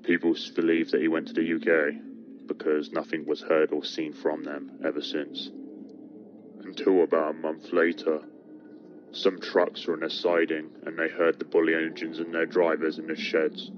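Male actor as grim talking engine for horror game; very deep, deliberate, ominous; noisy recording. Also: level tone, muffled recording. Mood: ominous storytelling. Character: grim older steam engine telling a dark tale. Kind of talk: slow dramatic horror storytelling.

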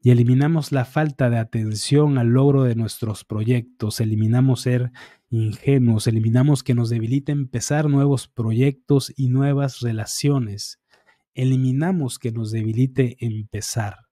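A middle-aged man leading a guided affirmation session, reciting long repetitive lists in a calm, measured way into a close studio microphone. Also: medium pitch, no echo. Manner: eyes often closed, fingertip to temple, concentrating. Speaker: energy healing practitioner. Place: small dark room, indoors. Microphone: studio microphone on boom arm, close.

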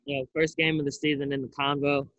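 A man's voice: medium pitch, flat delivery, fast, fairly bright tone, no room sound, lo-fi sound. A young man working as a sports reporter, asking wordy, conversational questions at a press conference. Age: young adult. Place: indoor arena stands.

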